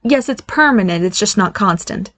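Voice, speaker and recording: A woman's voice: mid-range voice, lively intonation, moderate pace, fairly bright tone, no echo. A woman voicing a nervous, bubbly fairy godmother mother who keeps reassuring her son. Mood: chirpy nervous.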